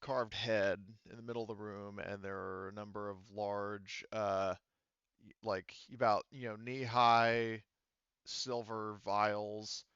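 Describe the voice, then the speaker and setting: A man narrating a tabletop roleplaying game as game master in a casual, halting, explanatory way. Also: fairly low pitch, little pitch movement, fairly bright tone, smooth, no room sound, dull audio.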